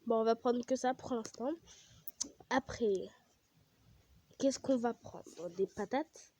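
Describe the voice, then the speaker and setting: A woman's voice: fairly high pitch, takes it slowly, mellow, dry recording. A young woman with a cold chats casually and rambles with many fillers while she plays a game. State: has a cold, coughing. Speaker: gaming vlogger.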